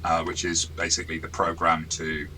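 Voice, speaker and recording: A man's voice: low-pitched, monotone, normal speed, noisy background. A man in casual chat, the game master reviewing an adventure he ran, talking in a chatty, self-deprecating way with frequent restarts.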